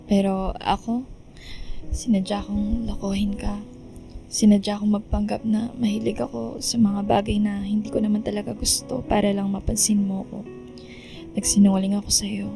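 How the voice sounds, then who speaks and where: A woman's voice: mid-range voice, level tone, moderate pace, gravelly, over music, lightly acted. A woman playing a remorseful, self-sacrificing young woman, apologetic and earnest, in radio drama dialogue. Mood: regretful, forgiving.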